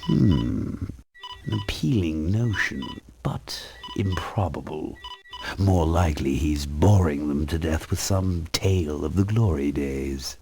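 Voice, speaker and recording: A man's voice: extremely low pitch, expressive, slow pace, gravelly, dark tone, heavily acted. A man playing a haughty, scheming corporate right-hand man in a video game, speaking formally and with condescension. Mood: haughty, impatient. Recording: music playing behind the voice.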